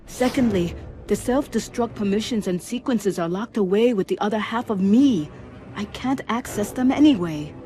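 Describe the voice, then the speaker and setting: Over prominent music, an actress plays a female starship AI. She is coolly precise and dryly witty, delivering technical detail in a measured, clinical manner at a middling pitch, in a sci-fi drama style. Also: animated, warm tone, natural voice. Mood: dry, matter-of-fact. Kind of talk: scripted sci-fi audio drama acting. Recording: music under the voice. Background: background music.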